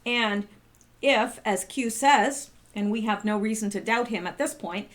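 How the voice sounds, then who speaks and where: A woman hosting her channel, reading out posts and commenting in a casual, halting way full of rhetorical questions. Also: fairly high pitch. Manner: conversational, many fillers and restarts, rhetorical questions. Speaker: channel host.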